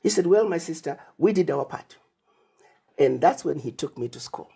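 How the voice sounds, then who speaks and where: A woman's voice: sits low, lively intonation, normal speed, creaky, mellow, husky. A woman from Zimbabwe, an interview guest who speaks emphatically, laying out plans as lists and retelling conversations. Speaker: interview guest, Pan-African advocate.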